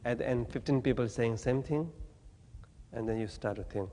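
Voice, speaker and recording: A man from Tibet, giving a Buddhist teaching in halting, plain English with gentle humor. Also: medium pitch, in a large hall. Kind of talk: dharma talk to an audience. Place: talk venue with audience.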